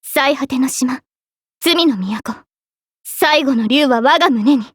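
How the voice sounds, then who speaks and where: A high, youthful female voice for a noble mage-knight in an anime game. She speaks formally and with resolve, like a dignified leader commanding in battle. The sound is dry and close. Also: slow pace, no echo, exaggerated voice. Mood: resolute, commanding. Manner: formal, crisp commanding delivery.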